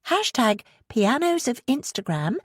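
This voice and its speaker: A woman with a husky voice reading a novel aloud in a measured, expressive storytelling manner. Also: a little high, dry recording, studio quality. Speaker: audiobook narrator. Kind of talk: scripted fiction narration.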